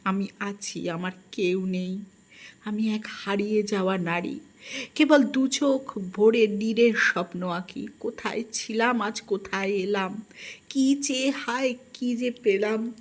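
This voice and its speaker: A woman reads a first-person literary story in an expressive, melancholic and dramatic manner. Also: medium pitch, slow pace. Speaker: audiobook narrator.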